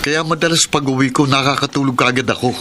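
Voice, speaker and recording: A man's voice: mid-range voice, fairly even intonation, fast, sharp tone, lightly acted. A man playing a suspicious, aggrieved husband, a probing and earnest security guard, in a radio drama with a Filipino accent. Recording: noisy radio drama recording.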